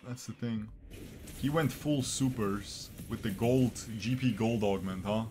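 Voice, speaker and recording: A man's voice: on the low side, a little slow, warm tone, a little hiss or hum. Chatty young man streaming a game. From Bosnia, raised in Switzerland. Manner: chatty, talks to his viewers, repeats himself. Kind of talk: live gameplay commentary. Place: small room indoors.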